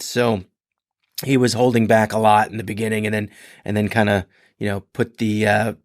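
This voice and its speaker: A man hosting a podcast in a rambling, chatty solo talk, his voice a little hoarse and congested. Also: fairly low pitch, dry recording, studio quality. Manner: rambling, chatty, many restarts and fillers. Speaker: podcast host. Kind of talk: casual solo podcast monologue.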